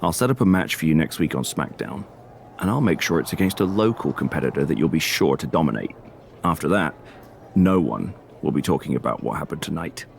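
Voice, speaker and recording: A man playing a wrestling show manager in a game cutscene, reassuring and dismissive in measured corporate talk. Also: rumbling low, lively intonation, creaky, slight background noise, heavily acted. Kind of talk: video game cutscene acting.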